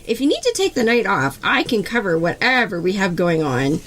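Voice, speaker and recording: A composed local news anchor, played by a woman, with an articulate, wry delivery. Her medium-pitched voice moves with lively intonation, in comedy podcast style. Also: brisk, low-quality recording. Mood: concerned, wry. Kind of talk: fictional comedy podcast acting.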